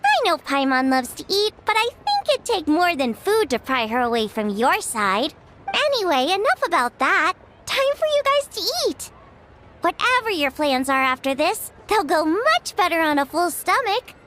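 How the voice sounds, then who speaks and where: A woman voicing a playful, energetic young female chef in a video game, with a youthful, girlish voice. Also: extremely high pitch, normal speed, noisy background. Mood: cheerful. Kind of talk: animated video game voice acting.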